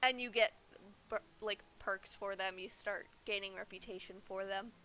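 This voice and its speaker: A woman's voice: mid-range voice, fairly even intonation, moderate pace, sharp tone, slight background noise. A young woman giving casual livestream game commentary, explaining things informally as she plays. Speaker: game streamer.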